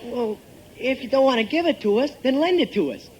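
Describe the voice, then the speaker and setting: An eager American schoolboy in a vintage radio comedy, with a very high voice that bounces around. He is earnest and slangy, always protesting and pleading his case. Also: expressive, a little fast, lo-fi sound, slight background noise. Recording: old-time radio recording from tape.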